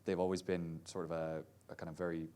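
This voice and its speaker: A man's voice: sits low, flat delivery, fast talker, warm tone, clear, resonant tone. A man, a VR developer, speaking casually on a panel in a chatty way, full of "sort of" and "like". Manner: chatty, many fillers like "sort of" and "like".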